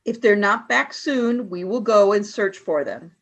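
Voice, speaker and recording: A woman playing a calm, matter-of-fact alien commander in an amateur radio play. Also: mid-range voice, measured pace.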